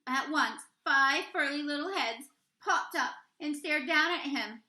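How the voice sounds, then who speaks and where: A woman reading a children's picture book aloud expressively, with deliberate, dramatic emphasis on key words. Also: high-pitched, sharp tone, roomy sound. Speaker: read-aloud storyteller, teacher reading to children.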